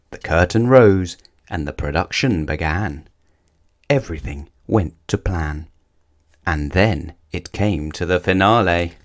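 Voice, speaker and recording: A man reading a children's picture book aloud as a storyteller, with deliberate, dramatic emphasis. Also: low-pitched. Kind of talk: expressive scripted children's story narration.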